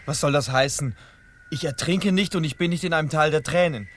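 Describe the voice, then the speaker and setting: A man as young ex-prince, higher-pitched voice, earnest, insistent, impatient, radio fairy tale. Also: brisk, a little hiss or hum, close to an everyday voice. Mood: frustrated. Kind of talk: radio play acting.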